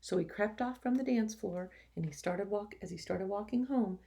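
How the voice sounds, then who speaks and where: A woman, a teacher, reading a picture book to children expressively, with gentle sympathetic asides. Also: medium pitch, moderate pace, vocal fry. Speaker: teacher reading a storybook to pupils.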